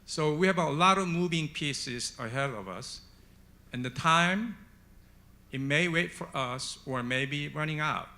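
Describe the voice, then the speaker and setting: A man's voice: fairly high pitch, unhurried, pushed and bright. A man from Korea, speaking at a conference panel in an emphatic way, with rhetorical questions. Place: conference hall on stage.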